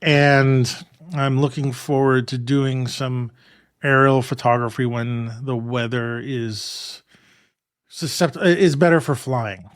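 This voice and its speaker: A man from New York co-hosting a photography podcast, chatting in a relaxed, rambling way with many restarts and laughs. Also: medium pitch, slow, no room sound, clean studio sound. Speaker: podcast co-host. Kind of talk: casual chat.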